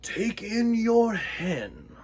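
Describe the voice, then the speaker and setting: Guy doing a wise old storybook man, real slow and deliberate, bit husky. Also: high voice, very animated, pitched above the performer's own voice, full character voice. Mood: sage. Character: wise old man giving calm, curious advice.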